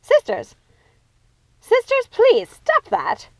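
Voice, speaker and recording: A woman voicing a bossy, excitable witch sister in a storybook, emphatic and exasperated. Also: very high-pitched, takes it slowly, no echo, pitched above the performer's own voice, full character voice.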